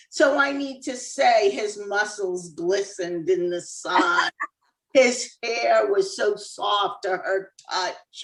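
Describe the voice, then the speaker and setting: A woman's voice: medium pitch, creaky, fairly bright tone, echoey room, far from the mic, poor audio. A woman from Cuba, an author and former dentist, talking casually as an interview guest with easy laughs and many fillers.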